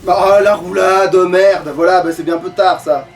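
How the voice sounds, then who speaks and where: A man's voice: sits high, fairly even intonation, normal speed, soft background music. A man giving casual gaming commentary as he plays, grumbling and swearing. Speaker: let's play co-host playing the game. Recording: distant mic with faint background noise.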